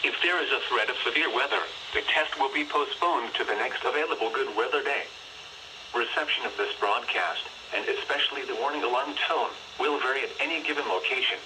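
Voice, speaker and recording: A man's voice: mid-range voice, fairly quick. Synthetic American male announcer, very noisy radio. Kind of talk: scripted public warning test announcement. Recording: very noisy weather radio reception.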